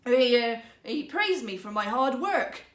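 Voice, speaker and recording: A woman's voice: fairly high pitch, lively intonation, measured pace. A woman voicing a poor woodcutter husband in a fairytale, earnest, emphatic, teasing and easily riled. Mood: earnest, excited. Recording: roomy, echoing sound.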